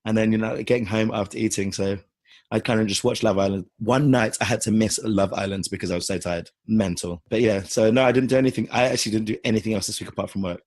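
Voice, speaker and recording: Brief: husky british guy, tired, quick teasing podcast co-host, lowish voice, dry close sound. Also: steady tone, darkish tone, no room sound. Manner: teasing, playful. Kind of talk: casual chat.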